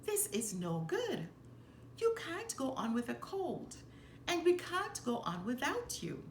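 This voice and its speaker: A woman's voice: sits high, takes it slowly, voice pushed up, heavily acted. A woman voicing a concerned, kindly male circus ringmaster with emphatic delivery in a storybook read-aloud. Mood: dismayed. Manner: emphatic, expressive storybook dialogue. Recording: roomy echo, faint background noise.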